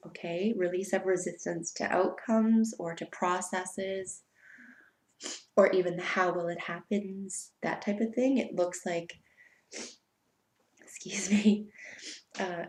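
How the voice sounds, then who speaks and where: A woman giving a weekly tarot reading in an unhurried, soft way, sounding stuffy from allergies. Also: lowish voice, roomy sound. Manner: soft, unhurried, spiritual invocations. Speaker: tarot reader.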